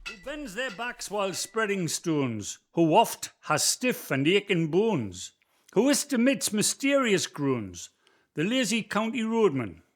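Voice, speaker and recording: A man's voice: high voice, big pitch swings, measured pace, heavily acted. A man playing a cheery Northumbrian roadman ghost. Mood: reciting verse. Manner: folksy, reciting verse, reassuring. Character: cheerful old roadman ghost, poetic, kindly. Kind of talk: community radio play acting.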